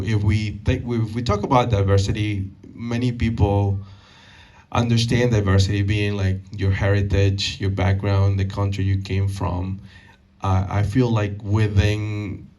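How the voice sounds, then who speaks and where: Man from Peru, thirties, low flat voice, halting speech full of fillers, distant mic in a meeting hall. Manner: halting, many fillers and restarts. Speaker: guest speaker. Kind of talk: community talk answering audience questions.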